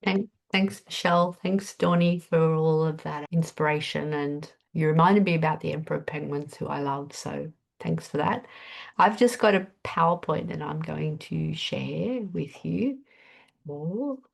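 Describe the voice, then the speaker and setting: Older Aussie woman, low croaky voice, stuffed-up nose, informal presenter. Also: a little slow. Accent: Australian. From Australia. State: has a cold, nasal. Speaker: webinar speaker. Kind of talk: casual presentation.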